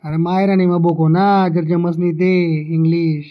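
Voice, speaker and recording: A man's voice: a little high, little pitch movement, moderate pace, dull audio, natural voice. A man playing a stern, sarcastic village schoolmaster in a comedy cartoon, with a Khandeshi Ahirani accent. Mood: sarcastic scolding. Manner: sarcastic, scolding, bossy.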